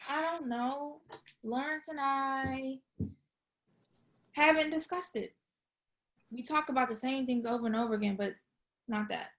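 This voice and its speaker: A woman's voice: sits high, unhurried, pauses often, a bit bright. A woman playing an awkward, easily exasperated young woman in a comedy script table read. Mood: hesitant, uneasy.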